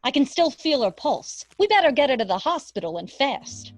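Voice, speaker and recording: A woman's voice: high-pitched, very animated, normal speed, soft background music. An actress playing a plain-spoken, practical radio woman with a folksy, take-charge manner in a radio drama. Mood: alarmed, urgent.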